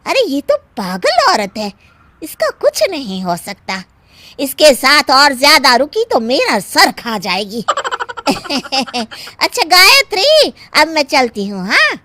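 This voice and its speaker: A woman voicing a blunt, scolding middle-aged female friend in a cartoon story, with an animated, chiding manner. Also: sits high, slow pace, a little hiss or hum, heavily acted. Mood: muttering, dismissive. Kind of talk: animated moral story acting.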